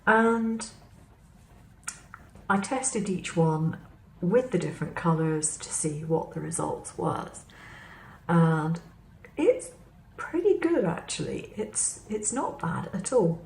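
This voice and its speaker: A woman with a slightly hoarse voice, chatting casually as she demonstrates craft materials at her table, in an unhurried way. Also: fairly low pitch, big pitch swings, darkish tone. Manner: unhurried, chatty explaining while handling materials. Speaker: craft vlogger. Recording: roomy echo with faint background hum.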